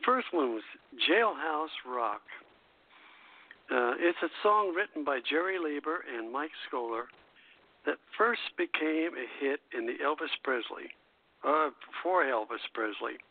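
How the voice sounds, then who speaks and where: A man's voice: on the high side, expressive, unhurried, vocal fry. An older man hosting a nostalgic radio show, moving between scripted presenting and casual chat. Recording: narrow phone-line radio audio.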